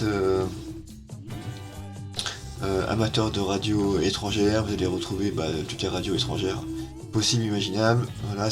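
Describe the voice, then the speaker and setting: A man walking through a software tutorial at his computer, hesitant and full of filler sounds, on an amateur setup. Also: on the low side. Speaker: tech YouTuber. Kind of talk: casual screen-recorded software tutorial.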